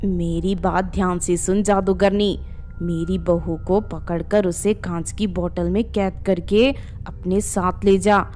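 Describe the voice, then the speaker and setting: A woman's voice: on the low side, steady tone, slow, mellow, a little hiss or hum, voice pushed down. A woman voicing a greedy, scheming older mother-in-law in a harsh, accusing, commanding way for a cartoon story. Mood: scheming, menacing.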